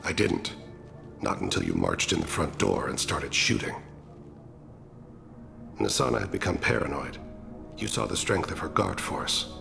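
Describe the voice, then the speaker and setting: An extremely deep, heavily gravelled male alien assassin from a sci-fi game, ringing in a long hall reverb. He is solemn and devout, with formal, measured, philosophical delivery. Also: sing-song, music in the background, heavily acted. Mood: calm, measured. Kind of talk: video game voice acting.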